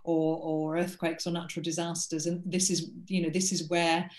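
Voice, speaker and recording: A woman's voice: fairly low pitch, flat delivery, moderate pace, a little mellow, echoey room. A woman in her fifties from the UK chairing an interview over a video call, asking questions in an encouraging, conversational way. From United Kingdom. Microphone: computer mic on a video call.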